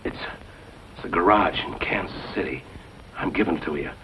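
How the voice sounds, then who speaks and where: An actor playing a gunshot, dying outlaw in an old western film, speaking in a weak, halting way with weary remorse. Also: male voice, low-pitched, bright, forceful tone. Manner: halting, weak deathbed speech. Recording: noisy old film soundtrack.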